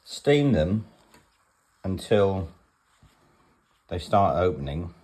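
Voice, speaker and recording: A man's voice: deep voice, very animated, very slow, darkish tone. A man giving a casual home demonstration in a rambling, matter-of-fact way, like a vlogger in his kitchen.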